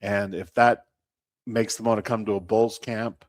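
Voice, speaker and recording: A low-voiced man gives an interview as a baseball team representative. He talks in a measured, halting way, stopping mid-thought before carrying on. The sound is close and dry. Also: level tone, dry recording. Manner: measured, halting, often pausing mid-sentence. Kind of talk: unscripted sports interview talk.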